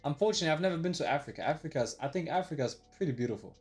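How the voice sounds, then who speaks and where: A man's voice: on the high side, animated, rapid-fire, a little mellow, soft background music. A man in his early twenties talking casually on a live stream, slangy and rambling, with plenty of swearing and "bro". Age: 20s. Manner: slangy, swears often, says "bro" a lot; rambling with restarts, replays his past thoughts aloud. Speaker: day trader live-streaming.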